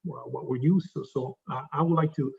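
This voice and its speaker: A man with an Italian accent giving a pitch presentation, talking haltingly with many fillers and restarts. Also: steady tone, creaky, distant mic, lo-fi sound. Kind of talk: pitch presentation to a live audience.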